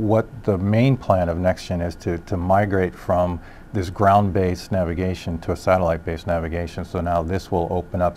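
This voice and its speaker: Man, low voice, expert interview answers, halting explanations, faint background noise. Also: a little mellow. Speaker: industry expert, solutions director.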